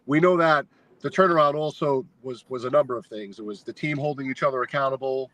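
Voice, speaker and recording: A man as a podcast guest, telling a long fan story in a casual, animated, rambling way with lots of filler words. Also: medium pitch, quick pace, poor audio. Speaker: guest, fan sign maker.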